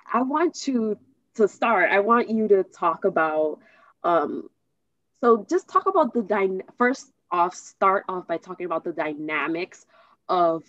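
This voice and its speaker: An American woman hosting a casual interview, speaking haltingly, searching for words and repeating herself. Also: on the low side, no echo, muffled recording. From United States. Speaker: host interviewing a guest.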